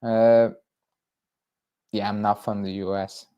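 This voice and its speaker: A man's voice: lowish voice, flat delivery, slow, smooth, muffled recording. A young man from Belgium hosting a casual fan livestream, rambling through viewer chat with fillers and restarts. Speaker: football fan channel host.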